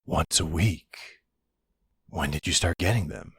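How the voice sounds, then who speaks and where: Very deep, husky-voiced boyfriend played by a man. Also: dry recording, clean studio sound, full character voice. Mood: puzzled, wary. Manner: hesitant, halting, gently questioning. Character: supportive, puzzled boyfriend of a werewolf, adult man. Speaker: audio roleplay performer. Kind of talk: intimate boyfriend roleplay audio acting.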